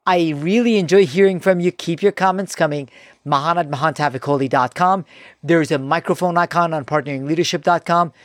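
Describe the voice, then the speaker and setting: A male podcast host with a fairly high voice introduces his guest with polished enthusiasm. His questions come across smooth and practiced. The recording is dry and close. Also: dry recording. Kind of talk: podcast interview hosting.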